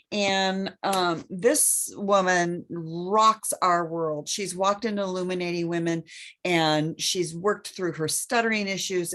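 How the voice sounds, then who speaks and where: A woman's voice: fairly low pitch, fairly bright tone. A woman hosting an online women's event, introducing speakers off the cuff in an effusive way.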